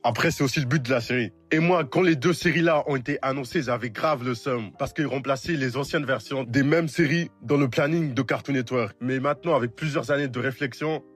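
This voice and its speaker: Young man with husky voice, casual commentary. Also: mid-range voice, brisk, few pauses, soft background music. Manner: relaxed, slangy, conversational. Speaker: video essayist.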